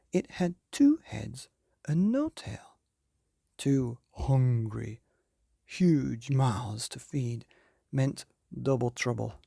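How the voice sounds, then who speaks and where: A man hosting and narrating a children's bedtime story in a theatrical way, with drawn-out emphasis and hushed phrases. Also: mid-range voice, vocal fry, breathy edge, dry recording, clean studio sound. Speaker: podcast host and storyteller.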